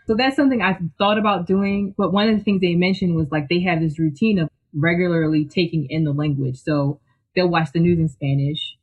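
A person from Philadelphia, a guest talking in an enthusiastic, chatty way full of "like" and quick laughs. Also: mid-range voice, breathy edge, lo-fi sound. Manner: enthusiastic, chatty, filler-heavy, laughs often. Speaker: guest, technical writer. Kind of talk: casual interview chat.

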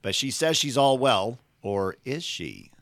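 A man hosting a podcast, his voice scratchy and gravelly from being sick, talking in a rambling, friendly way with many restarts. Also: medium pitch, sing-song, unhurried, a bit bright, no room sound. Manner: rambling, self-correcting, friendly. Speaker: podcast host.

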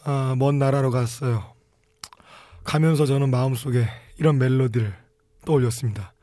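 A hoarse-voiced man chatting casually as a podcast producer and co-host. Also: mid-range voice, steady tone, normal speed, warm tone, no room sound, studio quality. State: hoarse from drinking and singing the night before. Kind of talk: casual chat.

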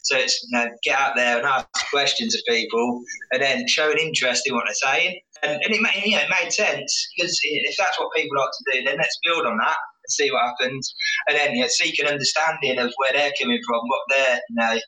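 A man speaks in a very bright, sharp voice, self-deprecating and halting, restarting his sentences often. The recording sits in an echoey room with the mic set some way off. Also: mid-range voice, far from the mic. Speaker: guest, finance professional. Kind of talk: candid podcast conversation.

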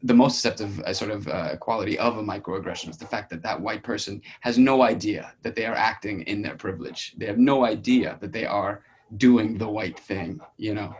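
A man with a fairly low voice talks through his ideas as a playwright. He is halting and conversational, feeling his way through each thought in an informal discussion. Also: slight background noise. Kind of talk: casual discussion, feedback session.

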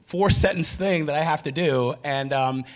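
A man moderating a panel before a live audience, telling lively, chatty anecdotes. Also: on the high side, moderate pace, rough recording. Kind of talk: casual panel talk.

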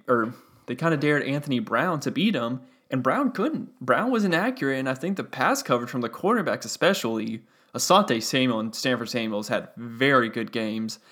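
A young man with a slightly gravelly voice hosts a solo sports podcast. He talks the game over in a loose, analytical way, thinking out loud and dropping in fillers as he goes. His pitch sits right in the middle range. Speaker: podcast host.

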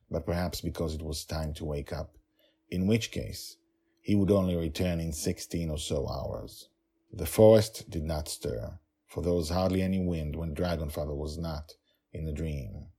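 Male podcast storyteller, very low and husky, dramatic emphasis, deliberate pauses in serialized fantasy narration. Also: warm tone.

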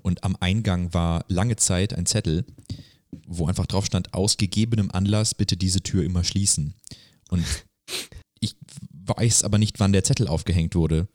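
A man's voice: low voice, steady tone, normal speed, darkish tone, no echo. A man co-hosting a podcast, chatting casually in a dry, tongue-in-cheek way. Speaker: podcast co-host. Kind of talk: casual chat.

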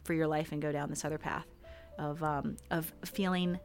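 A woman hypnotist chatting casually as a podcast guest, with a calm, relaxing voice and frequent laughs. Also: low voice, moderate pace, faint music behind.

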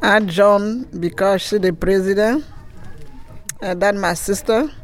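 Woman, hesitant interviewee, average pitch. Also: takes it slowly. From Cameroon. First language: French. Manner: hesitant, simple phrasing, laughs between answers. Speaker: association treasurer. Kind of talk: casual interview answers.

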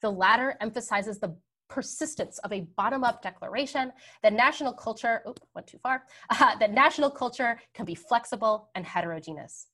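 A young adult woman, an author, giving a lecture with slides in a clear, measured way, stressing key words and adding "um" and "right". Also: on the high side, animated, sharp tone. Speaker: author giving a book talk.

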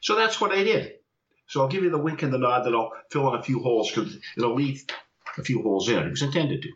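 A man's voice: medium pitch, animated, fast. A man calling into a show over a video call, chatting casually and fumbling with the buttons. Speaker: caller. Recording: roomy, dull video call audio.

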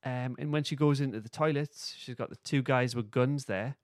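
A man's voice: medium pitch, normal speed, no echo, studio quality. A man hosting a podcast, casually recapping and discussing in an informal way. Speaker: podcast host.

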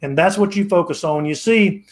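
A man in his sixties speaks as a podcast guest in a fairly high voice. He sounds preachy and repeats himself, often restarting his phrases as he hammers his point home. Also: moderate pace. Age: 60s. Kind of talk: motivational interview talk.

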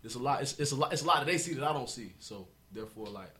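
A man, a guest rapper chatting casually in an interview, energetic and repeating himself, laughing often. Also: very fast. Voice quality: deep voice.

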